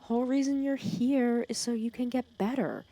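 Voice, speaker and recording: A woman playing a protective, teasing female villain who scolds and cares for her hero nemesis in an ASMR roleplay, sarcastic and often dropping to a hush. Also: a little high, moderate pace, poor audio. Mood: teasing, caring. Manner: scolding yet caring, sarcastic, dropping into hushed breathy asides. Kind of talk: ASMR roleplay audio drama.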